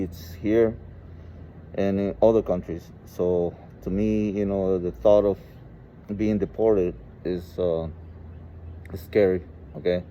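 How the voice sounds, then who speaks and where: A man in his forties from El Salvador with a Salvadoran Spanish accent, telling his personal story informally and haltingly, often saying "you know". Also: sits low. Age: 40s. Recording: muffled, with clear background noise.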